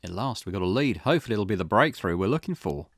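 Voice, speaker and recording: A man acts out a wry game detective in a low voice that swings expressively. He is dry and skeptical, a plain talker who likes to question. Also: expressive, fast, background noise. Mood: reflective. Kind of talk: character voice reading visual novel dialogue.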